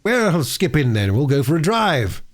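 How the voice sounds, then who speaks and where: Man playing a genial, brisk country doctor. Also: fairly high pitch, sing-song, pitched above the performer's own voice. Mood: hearty. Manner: hearty, direct.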